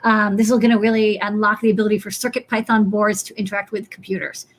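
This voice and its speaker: A woman's voice: mid-range voice, little pitch movement, fast. A woman hosting a casual chat about electronics, with an encouraging, enthusiastic manner. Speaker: show host.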